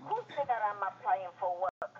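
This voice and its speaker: A woman's voice: mid-range voice, normal speed, a crowd in the background. A woman from Ecuador with a Spanish accent and Spanish as her first language, indignant and insistent, repeating her questions on a phone call. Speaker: call recipient. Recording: muffled recorded phone call.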